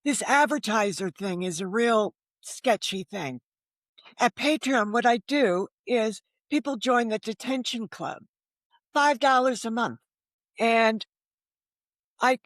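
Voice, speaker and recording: A woman's voice: fairly high pitch, dry recording. An American woman in her seventies chatting in a casual interview, anecdotal and emphatic in how she talks. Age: 70s. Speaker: podcast guest, novelist.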